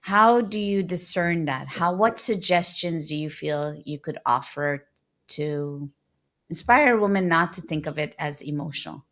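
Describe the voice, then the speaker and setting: A woman's voice: deep voice, measured pace, a bit bright. A middle-aged woman hosting an interview, asking reflective questions with hesitant restarts. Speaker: podcast host. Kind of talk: conversational interview.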